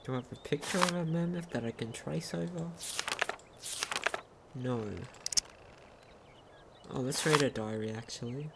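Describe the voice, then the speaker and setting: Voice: medium pitch, unhurried, vocal fry, long pauses. A gaming commentator talking casually and reading in-game text aloud, sometimes stumbling over words. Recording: home recording with faint noise.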